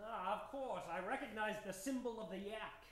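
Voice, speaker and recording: An actor playing an excitable, brainy scientist adventurer, fussy and exclamatory, in an old-time radio adventure parody. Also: male voice, sits high, moderate pace. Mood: pondering. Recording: roomy sound, loud background noise.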